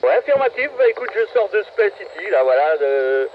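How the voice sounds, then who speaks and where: Dull, noisy radio audio carries a man's fairly high voice. He speaks, exchanging casual greetings as a mobile CB operator. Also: big pitch swings, moderate pace. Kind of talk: casual radio contact chat. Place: mobile, out on the road. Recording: muffled noisy CB radio from a vehicle.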